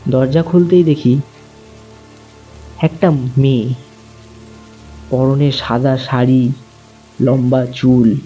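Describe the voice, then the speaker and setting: A man telling a first-person horror story in a slow, suspenseful way. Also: mid-range voice, fairly even intonation, breathy edge. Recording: muffled lo-fi with faint music.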